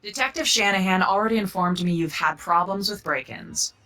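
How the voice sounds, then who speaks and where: A tough, direct detective in a video game. The voice is bright and forceful at a medium pitch, slightly off the mic. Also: female voice, brisk, recorded from a distance, rough recording. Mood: probing. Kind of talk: video game dialogue acting.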